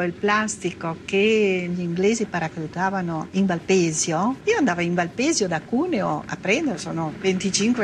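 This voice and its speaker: Creaky low-voiced woman. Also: background noise. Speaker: former partisan, interviewee. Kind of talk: recounting wartime memories. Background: music.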